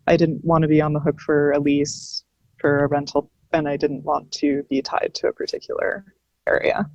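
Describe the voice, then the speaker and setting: A woman's voice: low voice, moderate pace, creaky, a little mellow, no room sound, lo-fi sound. A woman on a panel talking casually and explaining things as she thinks them through.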